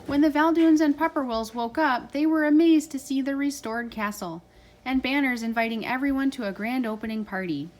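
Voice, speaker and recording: Woman, parent reading a children's story aloud, higher-pitched voice. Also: brisk, a little mellow, rough recording.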